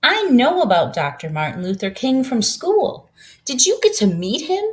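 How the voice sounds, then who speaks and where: A woman's voice: fairly high pitch, huge pitch swings, normal speed, voice pushed up. A woman voicing a curious, polite young boy in a storybook, asking questions earnestly and a little hesitantly.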